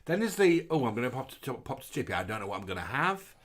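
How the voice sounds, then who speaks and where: A man's voice: mid-range voice, quick pace. A man from the UK, co-hosting a casual live stream and telling nostalgic stories with frequent laughs. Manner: animated storyteller, laughs often. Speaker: co-host.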